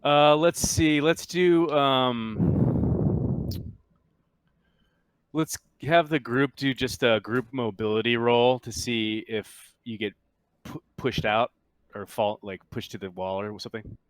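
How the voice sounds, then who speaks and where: A man working as a game master, casually narrating scenes and explaining rules in a halting way as he searches for words. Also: medium pitch, steady tone, clear, resonant tone, no room sound.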